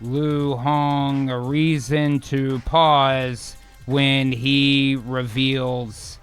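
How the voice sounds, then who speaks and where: A man's voice: mid-range voice, level tone, very slow, clean tone. A man hosting and narrating an improvised radio drama, moving between dramatic narration and casual chat. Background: music.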